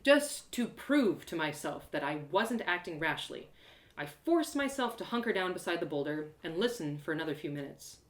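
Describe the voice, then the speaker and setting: Woman playing an excitable young adventure heroine, roomy sound. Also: on the low side, moderate pace, close to an everyday voice. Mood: cautious. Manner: theatrical, breathless exclamations, gasps, self-deprecating humour. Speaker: audio drama performer. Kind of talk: one-woman audio drama storytelling, first person.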